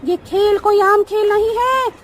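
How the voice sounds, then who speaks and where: A woman's voice: very high-pitched, steady tone, measured pace, heavy background noise. A woman voicing a fierce, murderous witch spirit in a horror cartoon story, menacing and threatening.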